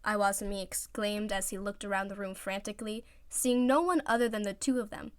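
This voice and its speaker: A woman narrating fan fiction as an amateur reader, expressive and scripted. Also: medium pitch, brisk, no room sound, clean studio sound.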